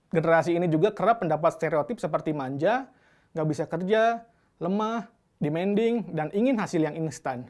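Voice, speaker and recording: A narrator speaks in a relaxed, conversational tone at a middle pitch. The delivery sounds scripted but chatty, and it often turns into questions. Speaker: narrator and off-camera interviewer.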